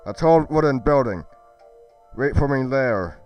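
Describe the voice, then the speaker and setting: A man playing a brisk, protective adventurer hero who speaks plainly and gives orders. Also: mid-range voice, lively intonation, distant mic, dull audio, faint music behind, pitched above the performer's own voice, natural voice. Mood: commanding.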